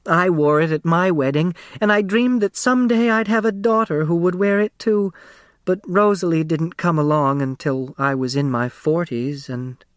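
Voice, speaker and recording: Man putting on a high, eager little older dressmaker woman. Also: moderate pace, smooth, no echo, studio quality, close to an everyday voice. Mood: wistful, chatty. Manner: chatty, eager to please. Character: small round timid older woman dressmaker, eager and chatty.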